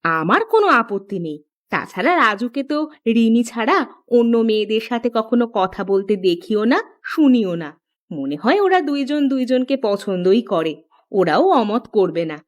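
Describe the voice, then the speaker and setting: A woman's voice: fairly high pitch, very animated, normal speed. A woman voicing a middle-aged village mother in a cartoon, fretful but practical and plain-spoken. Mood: agreeable. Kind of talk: scripted Bengali cartoon dialogue.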